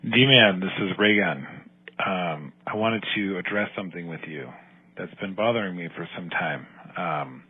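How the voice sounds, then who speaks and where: A man leaving a deliberate voicemail as a caller to a show. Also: low voice, breathy edge. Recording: tinny phone voicemail.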